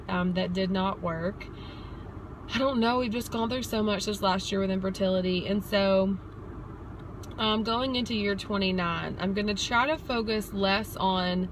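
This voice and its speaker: A woman's voice: medium pitch, low-quality recording. Woman vlogging with background noise. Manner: earnest, reflective. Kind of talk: casual personal vlog monologue. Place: inside a parked car. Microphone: phone or camera at arm's length. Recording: clear steady car ambience noise.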